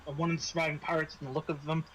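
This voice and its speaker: A man running a tabletop roleplaying game, narrating casually and haltingly, often repeating words. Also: fairly high pitch, level tone, fairly bright tone, slight background noise, rough recording. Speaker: game master.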